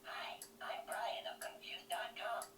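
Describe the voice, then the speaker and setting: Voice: slow, sharp tone. An actor voicing a chirpy toy robot mascot whose catchphrases play back thin and whispery through a small toy speaker. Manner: chirpy, sing-song slogans. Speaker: toy's recorded catchphrases.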